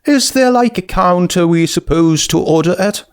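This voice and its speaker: A man voicing a cheerful, polite young female skeleton pony with a light, energetic voice. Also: normal speed, clean tone. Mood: chirpy, polite. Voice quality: high, light. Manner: bubbly, full of energy.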